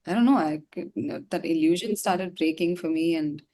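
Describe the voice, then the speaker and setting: A woman from India speaks in a fairly low voice. She tells her own story as a musician being interviewed, candid and reflective as she goes. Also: fairly quick, warm tone, slight background noise.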